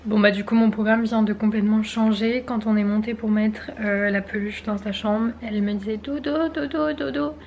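A woman's voice: mid-range voice, fairly even intonation, moderate pace, few pauses, a little mellow, muffled recording, slight background noise. A young woman in her twenties vlogging at home with a camera held at arm's length, chatty and casual, with a slight cold. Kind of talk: casual vlog chat. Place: home bedroom indoors. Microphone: handheld camera at arm's length.

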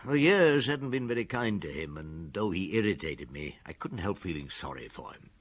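A middle-aged police detective in a radio drama. His voice is fairly low and rough-edged, with a lively, varied pitch. He is dry, brusque and probing. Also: moderate pace. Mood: dry, reflective. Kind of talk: radio drama acting and first-person narration.

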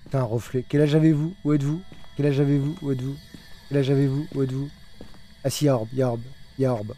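A man commentating his own live game stream with a warm, mellow voice. He talks urgently and repeats himself while directing his teammates. A faint hiss sits under the voice. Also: mid-range voice, steady tone, fast talker. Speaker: streamer playing a ghost-hunting game.

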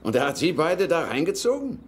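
A man's voice: a little high, very animated, fairly quick. An actor playing a skeptical older father, grumbling and dismissive, in a dubbed film. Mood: bitter. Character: bitter, skeptical older father, weary of treasure hunting. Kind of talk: German film dub acting. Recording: film soundtrack with music underneath.

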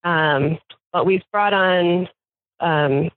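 A woman's voice: lowish voice, very slow, no echo. A woman farm manager talking casually in an interview, chatty with fillers and restarts. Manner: chatty, many fillers and restarts, laughs often. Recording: tinny phone line, dry.

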